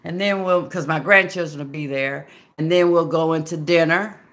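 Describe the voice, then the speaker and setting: A woman's voice: deep voice, fairly even intonation, normal speed, a bit bright. A woman in her fifties from Memphis with a Southern accent, chatting folksily with her livestream viewers and calling them baby and girl. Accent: Southern American. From Memphis, USA. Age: 50s. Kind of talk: casual livestream chat.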